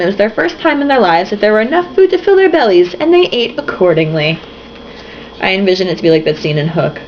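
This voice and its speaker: A young woman reading a novel aloud, tipsy, giggly and sarcastic, breaking off for swearing asides. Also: medium pitch. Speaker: reader and commentator. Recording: dull lo-fi home recording with faint hiss.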